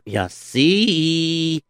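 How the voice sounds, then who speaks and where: A man's voice: a little high, sing-song, drawn-out words, vocal fry, a bit bright, no echo, clean studio sound. A man hosting a comedic children's story show, patient and dryly teasing toward his sidekick. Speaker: storyteller host.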